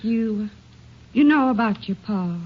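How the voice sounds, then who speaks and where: An actress playing a blunt, excitable older country storekeeper's wife in a 1950s radio drama. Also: female voice, medium pitch, slow pace. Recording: muffled, noisy old radio recording.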